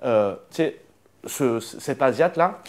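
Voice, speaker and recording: A young man speaking at a mid pitch in a loose, casual conversation. He picks his words carefully and builds his points step by step. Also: expressive, measured pace, darkish tone. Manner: thoughtful, weighing his words. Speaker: comedian guest.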